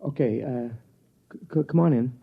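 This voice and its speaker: A man playing an anxious, middle-aged widowed father in a 1950s radio drama, speaking earnestly and haltingly as he acts and narrates. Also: mid-range voice, gravelly, breathy edge, no echo. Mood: flustered. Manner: earnest, halting, stammering under strain. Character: anxious widowed father of a gifted little girl. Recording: muffled old radio broadcast with music.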